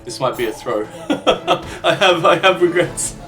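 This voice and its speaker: A man talks through his own gameplay with a voice made a little croaky by a cold, working out his next moves aloud and poking fun at his own bad luck. He sits a little back from the mic, with faint music playing behind him. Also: fairly high pitch, lively intonation, measured pace, a bit bright, recorded from a distance. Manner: self-deprecating, thinking aloud about strategy. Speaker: gaming channel host. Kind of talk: gameplay commentary.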